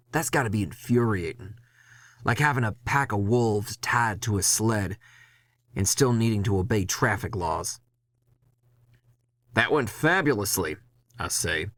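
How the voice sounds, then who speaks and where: Guy narrating a gritty noir audio story, lowish voice, wry and tired of it all, super dry sound. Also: a bit bright, dry recording. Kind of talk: first-person scripted noir narration.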